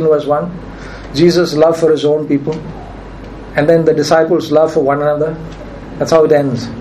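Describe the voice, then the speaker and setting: Male pastor, high voice, strained from preaching, emphatic, faint background hiss. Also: darkish tone, rough recording. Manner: emphatic preaching, repeats key phrases. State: nearly lost his voice. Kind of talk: sermon.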